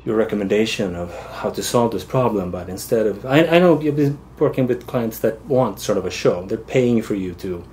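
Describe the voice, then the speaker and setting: A man's voice: on the low side, expressive, moderate pace, few pauses, a little mellow, a little hiss or hum. A man from Sweden, an art director guest, talking thoughtfully and agreeably in an interview. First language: Swedish. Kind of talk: casual interview answers.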